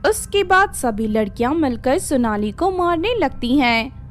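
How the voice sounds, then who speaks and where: Slow, very high-voiced woman storyteller. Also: sing-song, a little hiss or hum. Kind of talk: scripted cartoon story narration.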